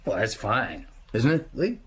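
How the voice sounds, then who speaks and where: A man's voice: medium pitch, very animated, measured pace, fairly bright tone, husky, full character voice. An actor playing a crude, bragging middle-aged American father in a radio drama, with slangy, leering talk. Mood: subdued.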